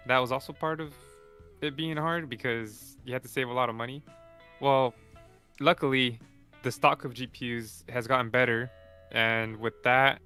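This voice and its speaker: Easygoing guy, clean smooth voice, faint guitar music behind. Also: medium pitch, bright, forceful tone. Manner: easygoing, explains plainly with fillers. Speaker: co-host. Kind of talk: casual podcast chat.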